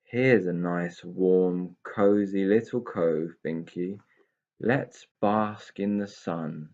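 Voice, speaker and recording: A man's voice: deep voice, takes it slowly, darkish tone, lo-fi sound. A man voicing a grumbling, idle, self-pitying pixie in a children's storybook, in a gloomy, whining way. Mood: lazy, doleful.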